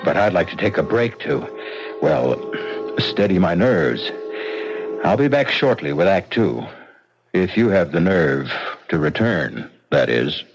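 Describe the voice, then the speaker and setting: A deep-voiced macabre radio host. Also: male voice, sing-song. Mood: ominous, wry. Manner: theatrical, deliberate, ominous. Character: macabre, theatrical male host of spooky tales. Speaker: host and narrator. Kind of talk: radio mystery drama narration. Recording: muffled old radio broadcast with music.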